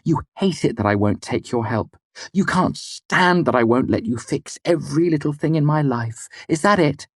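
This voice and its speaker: A man voicing a proud, hot-tempered young man in an audiobook, shouting biting words. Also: fairly low pitch, flat delivery, takes it slowly, breathy edge, no room sound, clean studio sound, exaggerated voice.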